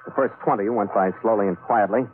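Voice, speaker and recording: An old, thin radio recording with music around the voice. A man acts a hard-boiled skipper in a fairly low voice, dry, clipped and unsentimental, in 1940s adventure-serial style. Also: fast talker, phone-quality sound, lightly acted. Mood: tense, hard-boiled. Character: tough, wry, hard-boiled sea captain hero. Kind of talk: 1940s radio adventure acting and first-person narration.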